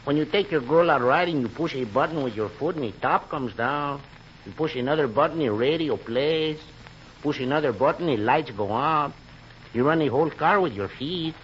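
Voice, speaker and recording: A man playing an easygoing Mexican comic sidekick with a singsong, deliberate delivery in a 1940s radio comedy. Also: fairly high pitch, fairly bright tone, close to an everyday voice. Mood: easygoing, boastful. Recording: noisy, muffled 1940s radio recording.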